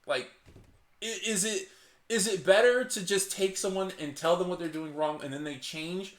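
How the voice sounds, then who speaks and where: Passionate man in an echoey room. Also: normal speed. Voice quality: naturally loud voice, held low. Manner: passionate, reasons aloud with many restarts. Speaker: channel host. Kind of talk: casual commentary chat. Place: hot indoor room.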